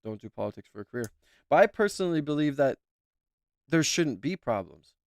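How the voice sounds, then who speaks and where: A man's voice: on the high side, lively intonation, fairly quick, no room sound. A man commenting as a YouTuber, talking in a rambling, emphatic way and repeating himself. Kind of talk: casual reaction commentary.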